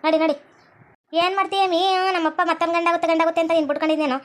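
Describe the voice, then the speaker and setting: A woman's voice: very high-pitched, steady tone, very fast. A woman playing chatty, bickering village farm labourers in a cartoon skit, garrulous and colloquial, with a North Karnataka accent.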